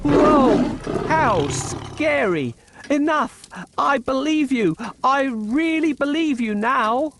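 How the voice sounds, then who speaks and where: A man voicing a clever, boastful talking cat in a theatrical, cocky way for a children's animated storybook. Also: very high voice, slow pace, noisy background, full character voice. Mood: frightened. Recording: music behind the voice. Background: music.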